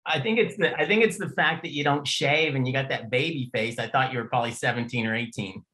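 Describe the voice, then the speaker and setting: Middle-aged male interview host, medium pitch, informal and conversational, unpolished everyday delivery. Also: fast, pushed and bright. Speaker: podcast host.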